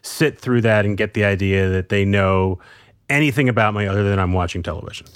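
A man's voice: lowish voice, fairly quick, clean tone, no room sound, clean studio sound. A man interviewing a guest with skeptical, conversational questions.

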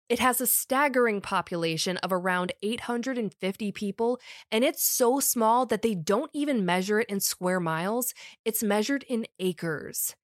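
A woman's voice: mid-range voice, no room sound, studio quality. A woman podcast host with a summer cold, reading a scripted true crime story in a wry, conversational way.

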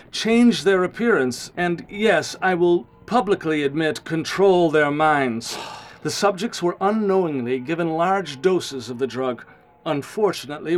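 An actor playing a grave, guilt-ridden male scientist in a radio drama, speaking formally with ominous, measured phrasing. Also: a little high, lightly acted. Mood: grave confession.

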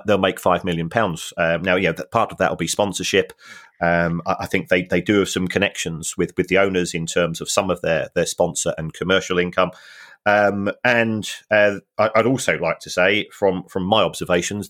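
A man co-hosting a football finance podcast, explaining things in a halting way with many restarts and dry humour. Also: deep voice, fairly bright tone. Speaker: football finance expert co-host. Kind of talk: casual podcast discussion.

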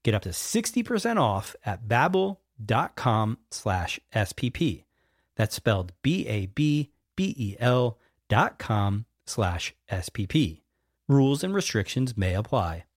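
A man reads a sponsor message with bright, upbeat energy. His pitch swings lively up and down. The sound is dry and close. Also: a little slow, dry recording. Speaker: ad read. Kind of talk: sponsor read.

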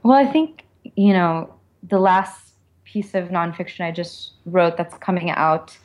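Low-pitched woman, candid and conversational. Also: expressive, unhurried, a little mellow. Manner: informal and reflective, frequent "like" and "you know". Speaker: author being interviewed.

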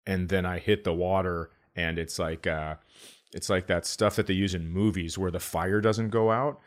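A middle-aged man chatting casually as a comedy podcast host, telling jokey stories in a deadpan manner. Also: sits low, moderate pace.

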